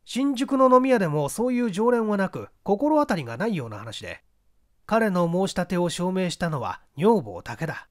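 A man's voice: high voice, big pitch swings, moderate pace. A man reading a literary mystery story as a scripted narration. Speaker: audiobook reader, stage actor.

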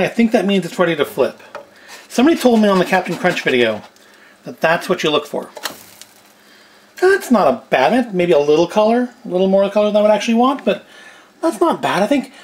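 A middle-aged man giving casual cooking and food review commentary with dry, self-deprecating humour. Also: high voice. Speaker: video host. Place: indoors at home, kitchen and tabletop set.

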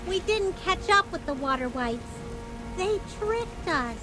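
A woman's voice: extremely high pitch, lively intonation, measured pace, over music. A woman voicing an earnest young adventurer companion in a game.

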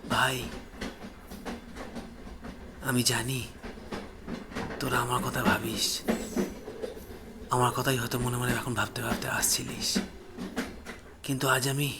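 A man voicing the sorrowful ghost of a poor young man, pleading slowly in a hushed, tearful, choked voice. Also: medium pitch, gravelly, very noisy. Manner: slow, hushed, imploring.

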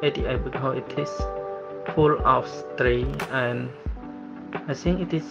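A student from Cambodia speaking simple, halting English. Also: male voice, mid-range voice, vocal fry. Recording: dull audio with music underneath.

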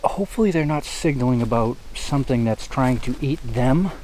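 A man in his forties talks his way up a wooded mountain, musing with quiet curiosity about what he finds along the way. The voice sits at a middling pitch and is picked up by a camera worn on his body outdoors, with a faint hiss of outdoor noise underneath. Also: lively intonation, a little fast. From New England. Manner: musing, curious, thinking aloud as he walks. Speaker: hiking vlogger. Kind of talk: casual walking narration to camera. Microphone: body-worn action camera.

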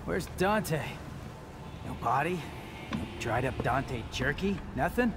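Cocky, high-pitched young demon hunter. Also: male voice, lively intonation, slow pace. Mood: taunting. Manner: taunting, casual swagger. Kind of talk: video game cutscene acting. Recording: music behind the voice.

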